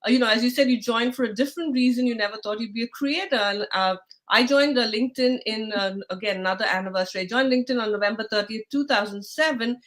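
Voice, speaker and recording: A woman's voice: a little high, fast talker, hardly any pauses, fairly bright tone. A middle-aged woman from India with an Indian accent, hosting a live online interview in a chatty way, full of fillers and restarts. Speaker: host.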